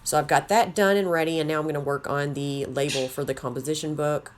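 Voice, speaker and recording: Low-voiced, slightly raspy woman crafter. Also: fairly even intonation, fairly quick, a little hiss or hum. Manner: chatty, rambling, self-deprecating. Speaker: craft tutorial host. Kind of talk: casual how-to narration.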